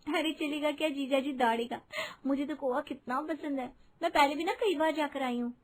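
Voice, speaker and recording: A confident young daughter-in-law acted by a woman in a cartoon family drama. She has a high voice and a modern, outspoken temper. She talks to relatives frankly and with assurance. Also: normal speed, lightly acted. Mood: cheerful eager. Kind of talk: Hindi moral-story cartoon voice acting.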